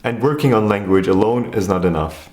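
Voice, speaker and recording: A man from Turkey, a native Turkish speaker, calmly narrating a scripted explainer video. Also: lowish voice, fairly quick, roomy sound. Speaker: video essay host.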